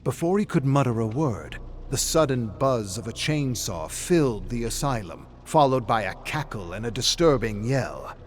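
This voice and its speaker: A man reading dramatic scripted fiction as narrator, in a measured, suspenseful storytelling manner. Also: medium pitch, expressive, slight background noise.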